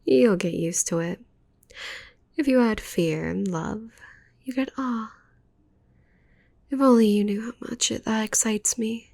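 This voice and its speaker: Woman doing a clingy yandere witch, asmr roleplay, husky breathy whispering, real slow n intimate, sweetly threatening. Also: fairly high pitch, a little mellow. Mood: dark, gloating. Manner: slow, intimate, cooing menace. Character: possessive, needy young witch doting on shrunken captive.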